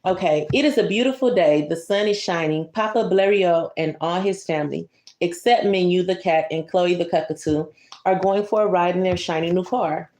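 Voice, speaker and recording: A woman's voice: lowish voice, moderate pace, darkish tone, echoey room. A woman reading a picture book aloud to a child, breaking off for casual, conversational asides and explanations. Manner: conversational, explains pictures and comments to a young listener. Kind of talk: bedtime story read-aloud with casual asides.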